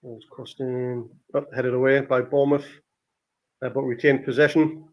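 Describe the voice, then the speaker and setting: A man's voice: medium pitch, flat delivery, normal speed, poor audio. A man hosting a live football watchalong, giving casual, partisan commentary on play as it happens, with exclamations. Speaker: football watchalong podcast host.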